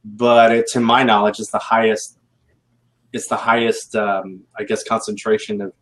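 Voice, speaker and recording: A man's voice: on the low side, flat delivery, normal speed, a bit bright. A man talking casually as a guest on a recruiting panel, rambling and correcting himself as he goes. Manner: rambling, self-correcting, laid-back.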